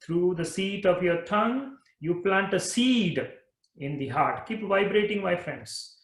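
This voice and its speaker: A man leading a guided mantra meditation, talking slowly and repeating phrases in a soothing way. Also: sits high, animated, echoey room. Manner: slow, repetitive, soothing guidance. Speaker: meditation teacher.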